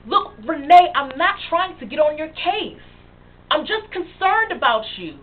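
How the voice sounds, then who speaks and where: An actress playing a young woman who haltingly and emotionally confesses a past addiction to her best friend. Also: high voice, very animated. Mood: pleading. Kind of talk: dramatic monologue. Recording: tinny phone-like sound with faint hiss.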